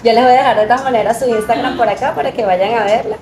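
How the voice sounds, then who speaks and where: A woman's voice: on the high side, rapid-fire, echoey room, background noise. A Venezuelan woman with a Venezuelan Spanish accent, hosting an interview podcast and asking easygoing questions. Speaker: podcast host. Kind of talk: casual interview.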